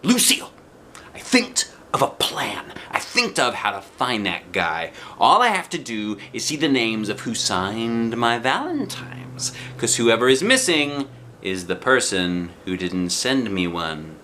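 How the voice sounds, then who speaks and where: A man voicing an excitable, cocky little schoolgirl who exclaims in childish grammar in a children's storybook. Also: mid-range voice, measured pace, a little hiss or hum. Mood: excited, scheming. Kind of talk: children's storybook read aloud.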